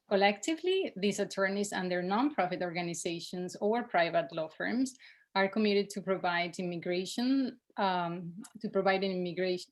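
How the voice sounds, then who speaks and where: A woman from Venezuela with a Venezuelan accent, a nonprofit director hosting a webinar and moving between Spanish and English with frequent fillers. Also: fairly low pitch. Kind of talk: bilingual webinar introduction.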